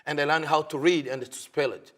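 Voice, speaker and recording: A man from Africa, a pastor answering interview questions earnestly in halting, learned English. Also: fairly high pitch, fairly even intonation, a bit bright, slight background noise. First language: not English. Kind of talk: TV interview guest.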